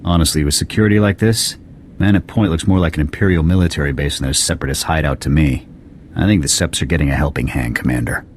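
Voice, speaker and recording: A man playing a gruff, blunt, skeptical military lieutenant in a video game, with a clipped no-nonsense manner. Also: rumbling low, fast, slight background noise. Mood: serious. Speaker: military officer. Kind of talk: scripted video game acting.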